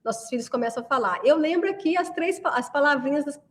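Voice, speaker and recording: High-voiced chatty woman teaching. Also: fast talker, fairly bright tone, in a large hall. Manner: chatty, keeps asking "né?" to the viewer. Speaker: course presenter. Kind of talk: friendly online class talk.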